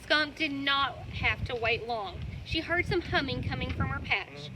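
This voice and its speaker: A woman's voice: high-pitched, animated, unhurried. A woman reading scripted narration as storyteller for a children's puppet show. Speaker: storyteller, library staff puppeteer. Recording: clear background noise.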